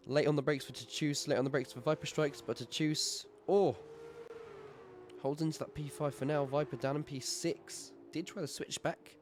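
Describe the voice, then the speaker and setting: A man with a sore throat giving brisk live play-by-play commentary on a sim race, rising in excitement at overtakes. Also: on the high side, a little hiss or hum.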